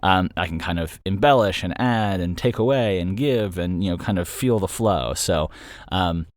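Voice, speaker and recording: Deep-voiced man, rambling casually. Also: normal speed, no room sound. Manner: rambling, loosely thinking aloud. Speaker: audio drama creator and host. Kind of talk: casual unscripted solo update.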